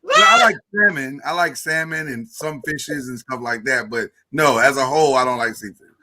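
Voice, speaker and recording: A man's voice: normal speed, background noise. Joking man hosting a live podcast. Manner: relaxed, joking, says he has a very deep voice. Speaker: podcast host. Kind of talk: casual chat.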